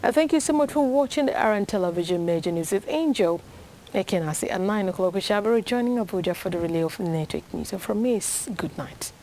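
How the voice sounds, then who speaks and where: A woman's voice: medium pitch, animated, quick pace, mellow, a little hiss or hum. A woman with a Nigerian accent reading scripted television news in a formal style as an anchor.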